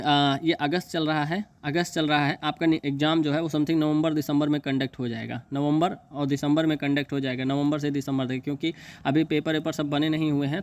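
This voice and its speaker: A man giving an informal explainer, reading and paraphrasing in a halting, repetitive way. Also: on the high side, dry recording. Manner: halting, repetitive, stumbles over English terms he reads. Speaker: exam news video host.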